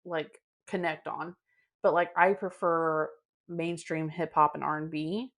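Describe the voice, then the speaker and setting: A young woman co-hosting a podcast, talking in a chill, calm, monotone way in casual chat with a friend. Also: sits low, measured pace. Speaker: podcast co-host.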